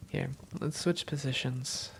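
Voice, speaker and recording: Woman playing a low, creaky-voiced vampire girlfriend. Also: steady tone, unhurried, noisy background, heavily acted. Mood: tender.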